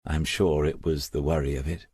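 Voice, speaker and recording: A man's voice: very deep voice, level tone, normal speed, darkish tone, breathy edge, dry recording, clean studio sound. A man voicing a resolute, bitter young mother in an audiobook, frank and plain-spoken. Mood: wistful. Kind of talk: audiobook character dialogue.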